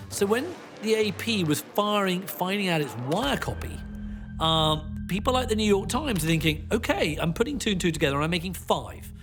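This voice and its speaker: A man explaining media history as an interviewee, in an animated, emphatic storytelling manner. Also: fairly high pitch. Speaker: documentary interviewee, media commentator.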